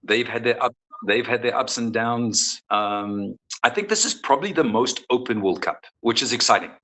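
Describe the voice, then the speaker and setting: A man's voice: medium pitch, moderate pace, sharp tone, poor audio. A man speaking as a football coach in a conversational interview, thoughtful and often restarting his phrases. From South Africa.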